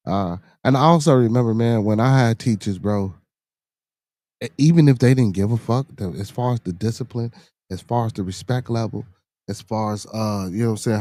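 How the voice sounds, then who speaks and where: A man's voice: on the low side, warm tone, no room sound, clean studio sound. A middle-aged man with a Chicago accent, hosting a podcast livestream, reading the chat aloud. He talks loosely and profanely, laughs often, and repeats himself for effect.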